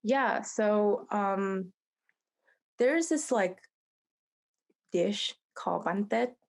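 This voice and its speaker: Gravelly young woman guest, relaxed. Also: medium pitch, drawn-out words. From Vietnam. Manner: relaxed, searching for words, heavy fillers. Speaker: podcast guest. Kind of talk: casual podcast chat.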